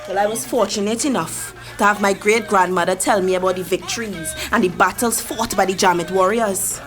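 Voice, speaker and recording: A woman's voice: medium pitch, fairly even intonation, a little fast, close to an everyday voice. An actress playing Mavis, an older Trinidadian community matriarch who tells her people's history with passion. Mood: impassioned. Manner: storytelling, impassioned, preaching history. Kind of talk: radio drama acting. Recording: music behind the voice.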